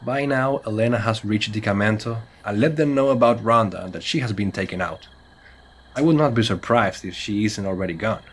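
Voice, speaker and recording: A man's voice: fairly low pitch, a little fast, a little hiss or hum, close to an everyday voice. A man playing a serious, cautious monster hunter with a Spanish accent, speaking in earnest, formal phrases with Spanish interjections in a comedy horror audio drama. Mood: worried, pleading.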